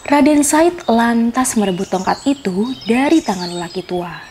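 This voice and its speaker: A woman's voice: fairly high pitch, expressive, moderate pace, a little mellow, a little hiss or hum. A woman telling a scripted children's story, expressively addressing her young listeners. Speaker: storyteller, host of a children's folktale show. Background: faint music.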